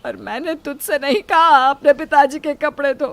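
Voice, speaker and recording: Old mother-in-law, played by a woman storyteller, put-upon, pleading, higher-pitched, domestic tale. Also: moderate pace, vocal fry, dry recording. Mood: tearful anger. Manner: meek, pleading.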